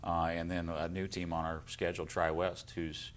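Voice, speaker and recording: A man's voice: low voice, level tone, moderate pace. An American man talking as a coach in a casual sports interview, plainspoken with a dry humour. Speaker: basketball coach.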